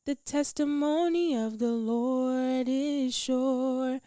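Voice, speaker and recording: High-voiced woman singing slowly. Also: fairly even intonation, dark tone, clear, resonant tone, dry recording, clean studio sound, faint music behind. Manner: sung, drawn-out phrases. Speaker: singer. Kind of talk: devotional scripture song. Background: musical accompaniment.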